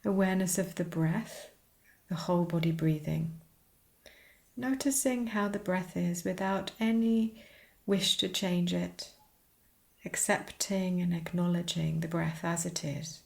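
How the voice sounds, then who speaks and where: A woman teaching a guided meditation, speaking soothingly in short, unhurried phrases with pauses. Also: on the low side, fairly even intonation, gravelly.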